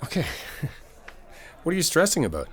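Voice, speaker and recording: A man's voice: fairly high pitch, wildly swooping pitch, moderate pace, a bit bright. A man playing a supportive, easygoing best friend in a casual ASMR comfort roleplay, talking conversationally and reassuringly as if to one listener. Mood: friendly, concerned. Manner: conversational, reassuring, hesitant rephrasing. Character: supportive, easygoing male best friend giving a pep talk. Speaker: audio roleplay performer.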